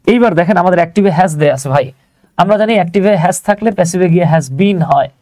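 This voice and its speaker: A Bangladeshi man speaks in a fairly high but mellow voice, recorded close and dry. He teaches grammar to online students informally, breaking things into steps. He often asks a question and then answers it himself. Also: quick pace, dry recording. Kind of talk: live online lesson, explaining step by step to students.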